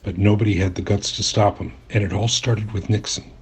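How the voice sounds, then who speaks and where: An actor playing an earnest, troubled journalist in an audio drama, speaking deliberately. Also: male voice, lowish voice, fairly even intonation, slightly rough. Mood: questioning, dismayed. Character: earnest, troubled male journalist, loyal friend. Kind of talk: audio drama acting and narration.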